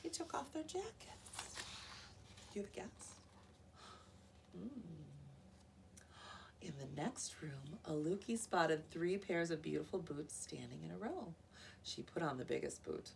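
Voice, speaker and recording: Animated woman reading aloud, slightly creaky voice. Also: sits low, measured pace, long pauses, distant mic, noisy background. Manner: expressive, prompting listeners with questions. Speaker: preschool teacher. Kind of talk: animated read-aloud of a picture book to young children.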